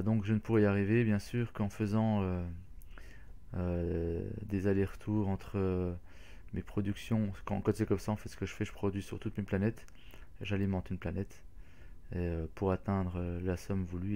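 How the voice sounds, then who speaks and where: A man's voice: lowish voice, dark tone. A man hosting a casual game walkthrough, talking hesitantly with many fillers and restarts. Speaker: gaming channel host.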